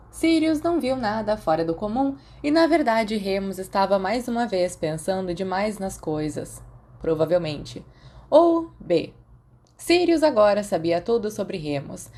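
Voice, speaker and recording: A woman's voice: mid-range voice, darkish tone. A woman with a Brazilian accent reading scripted fiction as an audiobook narrator. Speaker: podfic narrator.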